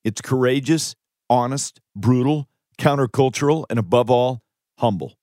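A man's voice: mid-range voice, no room sound, studio quality. An American man in his fifties reading his own audiobook as a pastor and author, with an earnest, emphatic preacherly delivery. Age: 50s. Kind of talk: audiobook narration. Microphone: close studio microphone.

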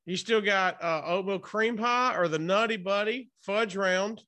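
A man doing a casual, playful interview as the host, chatty and prompting with quick follow-ups. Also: sits high, bright, forceful tone.

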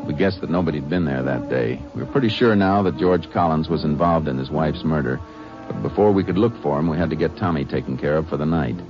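An actor playing a stern, steady Texas lawman with an American accent, terse and matter-of-fact in an old radio police drama. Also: extremely low pitch, quick pace, over music. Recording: dull vintage radio recording.

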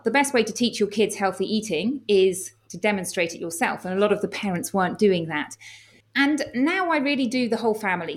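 A middle-aged woman with an English accent, a former children's doctor, speaking as a guest on a podcast and explaining things at length in an upbeat, articulate way. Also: mid-range voice. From England. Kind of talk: podcast interview.

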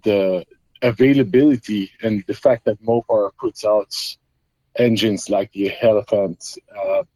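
Low-voiced Belgian man talking shop. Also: wildly swooping pitch, a little slow, warm tone, no echo, poor audio. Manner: explains at length with many fillers and restarts. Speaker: guest, custom Jeep builder. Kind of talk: casual interview talk.

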